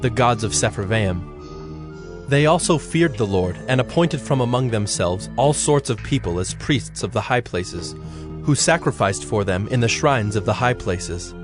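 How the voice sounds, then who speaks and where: A man narrating scripture from a script in a solemn, measured, formal manner. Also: medium pitch, animated, over music.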